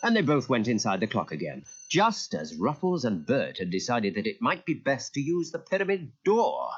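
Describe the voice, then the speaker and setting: A man giving an animated narration of a children's story as a storyteller. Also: mid-range voice, moderate pace, slightly rough. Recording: muffled old audio cassette.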